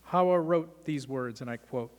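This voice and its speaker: A man hosting a law school lecture, giving measured welcoming remarks and thanks, with frequent "uh" fillers. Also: medium pitch, a little mellow, highs cut off. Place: lecture hall. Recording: long hall reverb.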